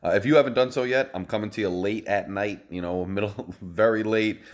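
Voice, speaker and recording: A man with a hoarse voice hosting a casual postgame football recap, rambling and enthusiastic, recorded on a phone. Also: fairly low pitch, fairly quick. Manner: rambling, enthusiastic, many fillers. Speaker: host. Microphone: phone video.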